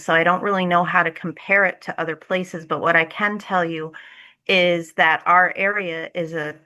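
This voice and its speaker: A woman with a bright, forward voice pitched a little low. She talks conversationally as a theatre director being interviewed, earnest and enthusiastic about her work.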